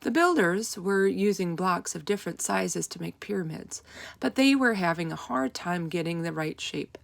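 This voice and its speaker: A woman reading a children's storybook aloud as narrator, with expressive, deliberate emphasis. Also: fairly low pitch, creaky.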